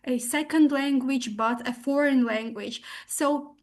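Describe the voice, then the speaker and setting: A woman whose first language is Russian moderates an online Q&A, her voice pitched high. She sounds polite and formal as she relays audience comments. The sound comes through a video call. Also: measured pace, a little mellow. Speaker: webinar moderator.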